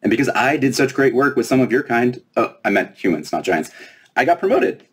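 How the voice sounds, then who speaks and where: A man's voice: medium pitch, very animated, fairly quick, slightly rough, pitched above the performer's own voice. A man voicing a smug, self-important young fairy princess with a flippant manner in a storybook reading. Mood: boastful.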